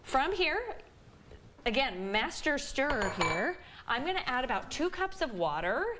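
A woman's voice: high-pitched, big pitch swings, unhurried, background noise. A woman in her thirties with a Midwestern American accent, a dietitian explaining a recipe in a chatty way with many fillers during a TV cooking segment. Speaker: dietitian guest. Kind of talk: cooking demonstration on morning TV. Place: home kitchen TV set.

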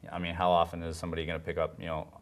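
Very low-voiced man explaining informally. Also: completely flat delivery, racing. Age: 30s. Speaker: guest, gym owner and trainer. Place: small TV studio set, indoors.

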